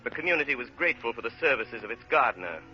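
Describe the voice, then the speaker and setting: A man narrating a period saga in 1930s radio drama style, solemn and measured, with dramatic pauses. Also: medium pitch, animated, bright, forceful tone. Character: grave storyteller narrating a French period saga. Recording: muffled old radio broadcast, music behind.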